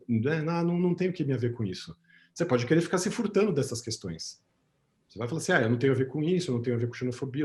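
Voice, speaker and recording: Thoughtful Brazilian man, searching pauses. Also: mid-range voice, a little mellow. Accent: Brazilian Portuguese. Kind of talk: reflective conversation.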